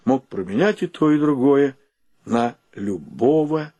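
An actor in a Russian-language radio play, playing a sentimental, proud, poor bachelor who talks in a drawn-out, theatrical way. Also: male voice, mid-range voice, animated, warm tone, dull audio. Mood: tender, resigned. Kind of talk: Soviet radio play acting.

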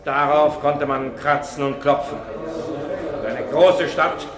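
A man acting a righteous, rational witness in a 1950s courtroom radio drama, speaking in measured, precise, formal sentences. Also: fairly high pitch, fairly even intonation, a crowd in the background. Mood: measured, dramatic. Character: righteous, rational male witness, firm champion of reason. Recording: echoey old radio studio recording.

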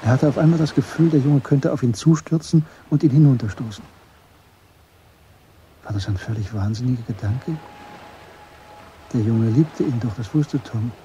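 A man narrating a German literary radio drama in a measured, understated way. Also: medium pitch, dark tone, muffled recording, a little hiss or hum, natural voice.

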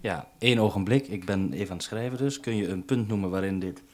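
A Dutch man speaks in a fairly low voice as a radio host at a base station. He handles a two-way radio conversation in a businesslike way, following call procedure, on a 1970s recording. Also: fast talker, heavy background noise. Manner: businesslike radio procedure, ends turns with "over".

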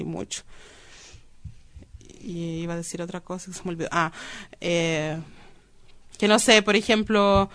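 Woman w clear smooth voice, casual interview chat, slangy, repeats words for emphasis. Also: mid-range voice, fairly bright tone. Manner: informal, colloquial, emphatic repetition. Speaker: interview guest, activist.

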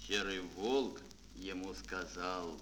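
Мужчина театрально и декламационно рассказывает детскую сказку как рассказчик аудиоспектакля. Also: male voice, deep voice, takes it slowly, a bit bright. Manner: theatrical, declamatory. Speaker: narrator. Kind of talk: dramatic fairy-tale narration for children. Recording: old mono record, faint noise.

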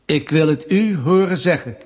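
An actor playing a smug, menacing Soviet state interrogator in a radio drama, mock-polite, needling and deliberate. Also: male voice, fairly high pitch, animated, darkish tone, husky. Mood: triumphant.

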